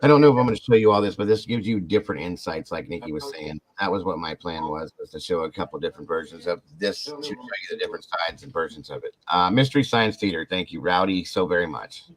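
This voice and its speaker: Male podcast host, deep, loose and animated delivery. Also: fast talker, few pauses, rough recording. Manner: rambling, animated, talks to chat viewers. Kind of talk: casual podcast chat.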